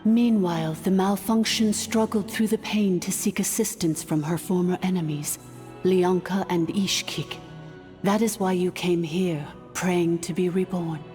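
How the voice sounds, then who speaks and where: A woman playing a calm, imperious ancient dragon-born artificial intelligence in a video game, speaking formally and with measured stateliness. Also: lowish voice, lightly acted. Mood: solemn. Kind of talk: scripted game dialogue, solemn exposition. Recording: long hall-like reverb, music underneath.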